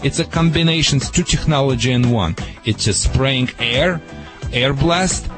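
A man's voice: medium pitch, moderate pace, over music. A man giving dog training advice to a radio caller, in broken, emphatic English.

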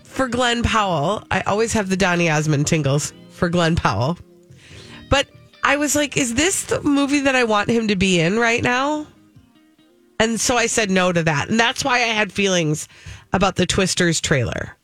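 A woman's voice: mid-range voice, lively intonation, normal speed, fairly bright tone, soft background music. A woman co-hosting a morning radio show, chatting casually and gushingly, often peppering her talk with "like". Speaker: fill-in radio co-host. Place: radio studio.

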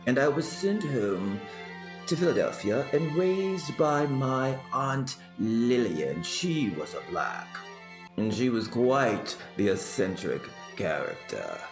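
A man telling stories as an interview guest in a theatrical, grand and droll manner, pausing for effect. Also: mid-range voice, big pitch swings, echoey room, music in the background. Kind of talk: theatrical personal storytelling.

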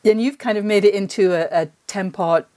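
A woman's voice: medium pitch, brisk, mellow. A middle-aged woman hosting a podcast, putting questions and comments in a conversational way. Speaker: podcast host, interviewer. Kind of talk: conversational interview.

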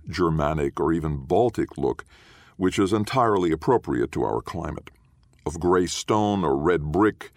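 This is a man with an extremely low voice narrating a literary story. His delivery is measured and solemn, shaped as scripted audiobook reading. The sound is dry, with hardly any room around it. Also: no room sound.

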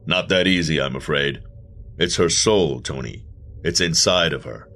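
A man voicing a cool afterlife agent, very deep. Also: a little slow, fairly bright tone, exaggerated voice. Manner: cool, matter-of-fact, faintly mocking.

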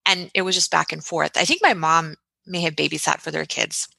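A woman in her forties from the United States, who grew up in Texas, telling lively and reflective stories as a guest in an interview. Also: low voice, brisk, creaky, dry recording. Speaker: podcast host, guest. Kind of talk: casual interview storytelling.

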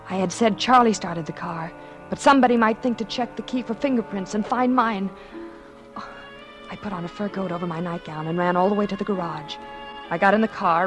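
Vintage radio thriller, music under: actress as calculating femme fatale, coy yet cold-blooded, theatrical confessional delivery. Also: female voice, fairly low pitch, natural voice. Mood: panicky. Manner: dramatic, shifts from coy murmurs to tense confiding. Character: scheming, cold-blooded former actress turned murderess, narrating her crime. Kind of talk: 1940s radio drama acting with confessional narration.

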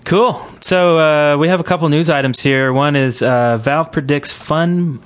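A man hosting a casual gaming podcast, talking in a halting, rambling way. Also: a little high. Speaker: podcast host.

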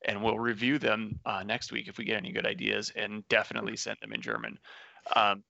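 Male podcast co-host, easygoing and joking; gravelly, dry, lo-fi recording. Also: on the low side, level tone, fairly quick, bright, forceful tone, no echo. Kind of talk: casual chat. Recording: dry, muffled lo-fi audio.

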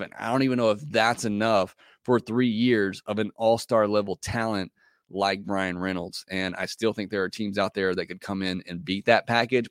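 A man hosting a podcast, reading listener comments in casual chat, hoarse as he loses his voice, talking in a loose, hesitant way full of fillers. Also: on the low side, no room sound, studio quality. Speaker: podcast host.

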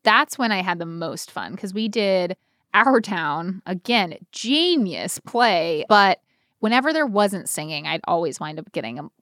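An American woman co-hosting a podcast, telling personal stories in a casual, animated, slangy and self-deprecating way. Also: fairly low pitch, unhurried, no room sound, clean studio sound. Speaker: podcast co-host. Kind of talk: casual chat, personal storytelling.

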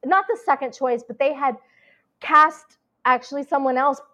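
A woman's voice: sits high, normal speed, pushed and bright. A woman actress chatting as an interview guest, talking freely with many fillers and restarts. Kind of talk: casual interview chat.

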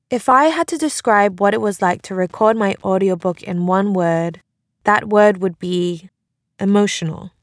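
A woman with a mid-pitched voice speaks as an author, reflective and personal, as if presenting her own memoir. The recording is dry, with no room sound. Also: dry recording.

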